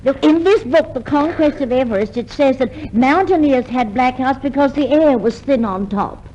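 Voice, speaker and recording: A woman playing a cheerful, excitable friend with an eager, exclamatory delivery in an old radio comedy. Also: high-pitched, normal speed, dull audio, natural voice. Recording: noisy old radio show recording.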